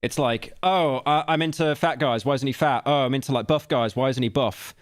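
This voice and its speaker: A man in his late twenties streaming a reaction video, talking casually and rambling, with self-deprecating asides and sudden loud outbursts. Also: medium pitch, brisk, no echo, studio quality. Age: late 20s.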